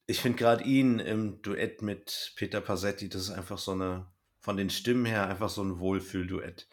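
Low-voiced man chatting. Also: fairly even intonation, normal speed. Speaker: podcast host discussing an audio drama. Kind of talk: casual conversational review.

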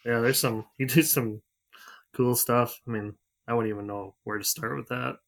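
A man co-hosting a casual podcast, from North Dakota, easygoing and quick to laugh. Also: mid-range voice, steady tone, vocal fry, fairly bright tone. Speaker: podcast co-host. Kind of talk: casual chat.

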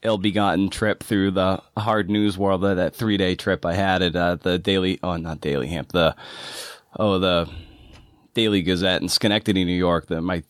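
A man hosting an interview in a casual, informal way, haltingly circling back over his phrases. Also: low-pitched, steady tone, dry recording, clean studio sound. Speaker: podcast host, interviewer.

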